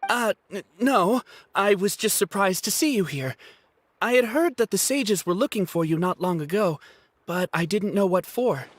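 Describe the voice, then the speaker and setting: A woman's voice: mid-range voice, lively intonation, normal speed, a little hiss or hum, lightly acted. A woman voicing a proud, touchy young male student who stammers when flustered. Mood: nervous.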